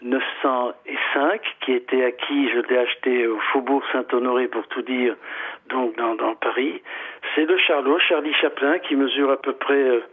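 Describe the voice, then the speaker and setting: A man's voice: medium pitch, normal speed, slightly rough. A middle-aged man from southern Italy, a museum owner speaking French as a guest on a phone interview, hesitant and repeating words often. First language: Italian. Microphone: telephone. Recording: tinny phone line.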